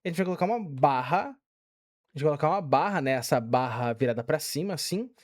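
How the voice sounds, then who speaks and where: A man's voice: on the high side, animated, brisk. A young man with a Brazilian Portuguese accent, casually walking through a game tutorial step by step with many fillers. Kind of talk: casual screen-recorded tutorial.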